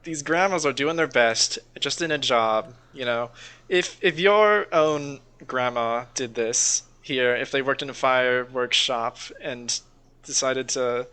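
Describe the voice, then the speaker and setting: A man's voice: mid-range voice, a little slow, sharp tone, smooth. A man co-hosting a podcast in a casual, rambling, sweary chat, reacting to videos. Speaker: podcast host.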